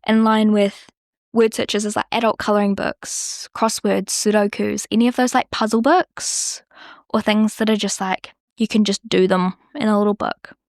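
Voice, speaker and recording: A young New Zealand woman chats through her solo podcast in a loose, rambling way, dropping "like" all over the place. Her voice has a gravelly fry at mid pitch. The recording is dry and close, with no room sound. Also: fairly even intonation, moderate pace, no echo. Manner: chatty, rambling, lots of "like". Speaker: podcast host. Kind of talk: casual solo podcast chat.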